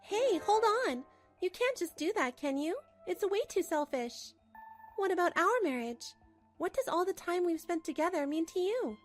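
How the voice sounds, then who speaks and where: A woman's voice: very high-pitched, very animated, normal speed, faint music behind. A woman playing a wronged, pragmatic wife who narrates her marriage troubles expressively in a manga dub. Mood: shocked, indignant. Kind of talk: dramatic first-person narration for a manga dub.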